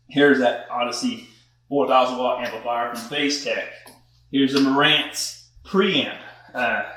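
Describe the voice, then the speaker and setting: A man's voice: mid-range voice, fairly bright tone. A middle-aged man giving a casual, folksy walkthrough as an installer on a job site. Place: large unfinished house interior, hard floors. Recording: echoey empty rooms with long reverb.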